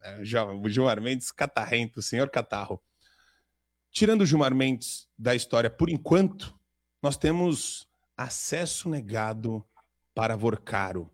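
A man's voice: mid-range voice, lively intonation, measured pace. A man hosting a live political talk show, joking and sarcastic, with a stuffy nose from a cold.

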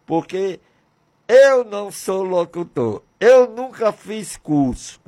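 A man's voice: sits high, very animated, slow, darkish tone. A man in his sixties from Brazil, talking on religious radio in a fervent, repetitive way with many rhetorical questions. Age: 60s. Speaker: radio presenter.

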